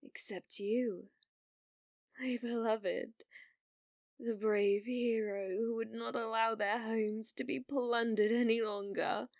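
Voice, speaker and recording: A woman performs an obsessive, self-proclaimed demon lord in a young woman's body, with a highish voice. She speaks slowly and theatrically, in lofty old-world phrases, for an ASMR roleplay. The sound is close and dry. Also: fairly even intonation, gravelly, a little mellow, dry recording. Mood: proud, grandiose. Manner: grandiose, archaic, theatrical phrasing with flustered stammers.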